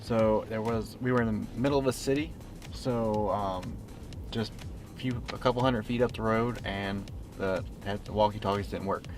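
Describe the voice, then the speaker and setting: A man's voice: fairly low pitch, normal speed, music in the background. A man hosting a casual gadget review vlog in an informal, plain-spoken way. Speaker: host of a car tech review channel.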